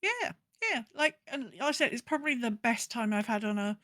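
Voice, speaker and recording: Woman, high-ish bright voice, close dry sound, casual podcast chat, animated rambling storyteller. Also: normal speed, no room sound. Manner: animated, rambling storyteller who acts out her own thoughts. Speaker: podcast co-host. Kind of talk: casual chat about gaming.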